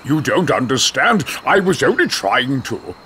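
An actor playing a scheming, short-tempered middle-aged jungle hunter in a video game, pompous and condescending, with a raspy voice. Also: male voice, medium pitch, big pitch swings, measured pace, pushed and bright, a little hiss or hum. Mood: smooth excuses. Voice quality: gravelly, raspy. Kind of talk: video game cutscene acting.

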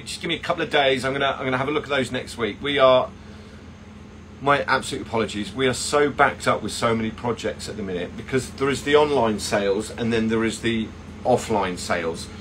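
A man in his fifties with a fairly low voice talks casually to viewers like a teacher at work. He wanders off topic and corrects himself often. A clear air-conditioning hum sits behind him, picked up on a phone at arm's length. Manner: chatty, digressive, self-correcting. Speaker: chocolatier teacher and host. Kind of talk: casual livestream chat and explanation. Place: small indoor work kitchen. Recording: steady air-conditioning hum, kitchen room noise.

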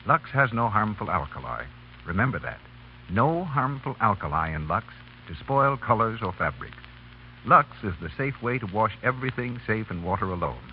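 A man announcing and advertising in the polished style of 1930s radio. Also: lowish voice. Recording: muffled, noisy 1930s radio broadcast.

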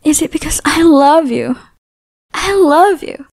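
A woman voicing a gravelly whispering obsessive lover. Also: fairly high pitch, slow, dry recording, studio quality, full character voice. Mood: possessive whisper. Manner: soft breathy whispering, teasing and threatening. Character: obsessive possessive young woman lover, menacing. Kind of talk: ASMR roleplay.

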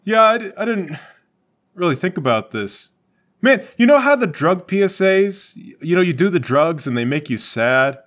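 Guy doing a mopey crooked cop boyfriend, stammery, kinda high and swingy, improv game. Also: very animated, moderate pace, a bit bright. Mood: glum, pleading. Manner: hesitant, stammering.

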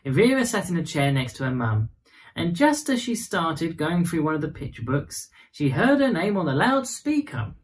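A man's voice: a little high, big pitch swings, normal speed. A man in his thirties reading a children's bedtime story expressively. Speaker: storybook reader.

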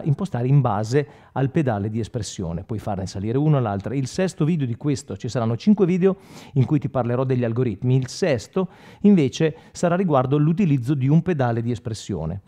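A man, explaining things informally in a conversational tutorial manner, often checking in with the listener. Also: mid-range voice. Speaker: guitar instructor and product demonstrator. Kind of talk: informal video tutorial, explaining between guitar demos. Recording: dull lo-fi sound, loud guitar playing. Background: loud electric guitar with effects.

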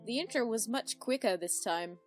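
Casual woman commentator over soft music. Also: on the high side, expressive, normal speed. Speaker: reaction video commentator. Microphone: voice-over with background music.

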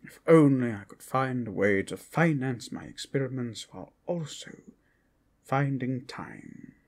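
A man's voice: medium pitch, very animated, measured pace, a little mellow, heavily acted. A man playing a grumbling, scheming old wizard who muses theatrically over his orb in an ASMR fantasy roleplay, often slipping into whispers. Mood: weary, grumbling. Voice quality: breathy, husky. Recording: music behind the voice.

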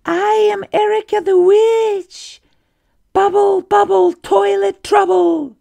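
A performer voicing a mischievous witch with a very high, croaky voice, chanting in sing-song rhymes. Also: flat delivery, slow, mellow, full character voice. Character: cackling rhyming witch, mischievous.

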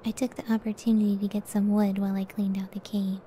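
A woman playing a gentle, affectionate cyclops giantess wife in an ASMR roleplay, speaking softly and soothingly close to the mic. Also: medium pitch, little pitch movement, fast talker, a little hiss or hum, lightly acted. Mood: tender, caring. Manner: soft, breathy, tender, soothing. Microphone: close ASMR microphone.

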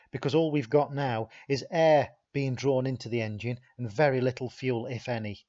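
A man, a repair instructor, giving a steady, methodical voice-over explanation of a technical animation and repeating key points. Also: mid-range voice, lo-fi sound.

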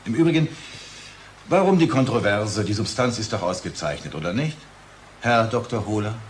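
A man plays a needling boss in a radio play, smooth-talking and in charge. The voice is fairly low, with faint noise in the background. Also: lively intonation, normal speed, natural voice. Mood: needling, conciliatory. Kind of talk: dialogue radio-play acting.

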